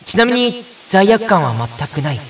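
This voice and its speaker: A man's voice: sits high, sing-song, moderate pace, a bit bright, recorded from a distance, slight background noise. A man playing an earnest, kind young boy who narrates his own adventure in an audio drama. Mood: tense narration. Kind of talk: audio drama inner monologue.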